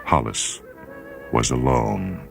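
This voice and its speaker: A man reading science fiction as scripted dramatic narration, with a measured, solemn delivery. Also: a bass voice, big pitch swings, gravelly, over music. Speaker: story reader and programme host.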